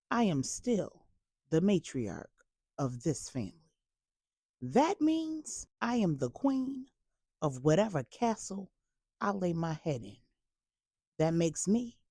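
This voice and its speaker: A woman's voice: mid-range voice, mellow, no room sound, studio quality, exaggerated voice. A woman voicing a regal, scolding mother and family matriarch with a formal, exasperated manner, in an audio story.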